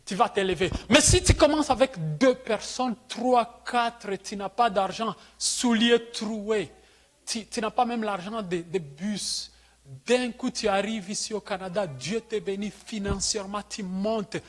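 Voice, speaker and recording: A man's voice: high voice, measured pace. A middle-aged man preaching as a guest pastor, speaking in short phrases and addressing his listeners directly. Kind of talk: sermon to a congregation.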